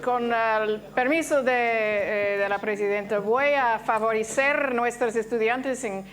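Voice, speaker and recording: Halting woman moderating a Q&A. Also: a little high, fairly even intonation, a bit bright. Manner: halting, many restarts. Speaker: event moderator. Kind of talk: hosting a Q&A. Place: university lecture hall.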